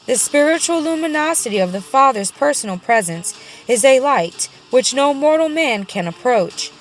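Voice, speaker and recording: A woman with a fairly high voice narrates a spiritual audiobook. She phrases each line solemnly and deliberately, setting words apart with pauses. Faint music plays underneath her reading. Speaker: audiobook reader. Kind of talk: scripted reading of a religious book.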